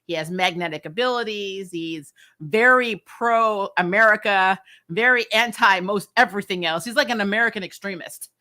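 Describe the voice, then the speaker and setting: Bright-voiced woman giving a chatty recap. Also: medium pitch, moderate pace. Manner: animated, acts out lines, peppers talk with "you know". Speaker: host of a comic TV review vlog. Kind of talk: casual, chatty episode recap.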